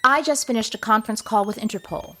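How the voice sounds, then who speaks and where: An actress as a brisk, high-voiced woman chief. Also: pushed and bright. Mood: businesslike. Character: brisk female intelligence section chief. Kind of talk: comedic audio drama acting.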